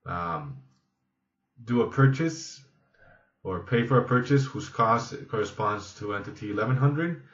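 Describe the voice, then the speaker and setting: A man with a fairly low voice walks through a software demonstration step by step. His delivery is instructional and a little halting. The recording is echoey and slightly dull. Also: expressive. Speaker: software trainer. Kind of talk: instructional screen-demo narration.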